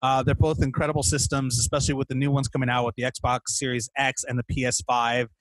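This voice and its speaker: A man hosting a podcast in a casual, playful chat about video games, animated and stumbling over his words. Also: medium pitch, quick pace, dry recording, rough recording. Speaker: podcast host interviewing a guest.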